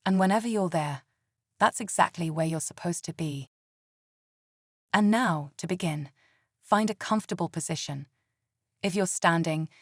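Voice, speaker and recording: A woman leading a scripted guided meditation in a calm, soothing and gentle way. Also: on the low side, no echo, clean studio sound.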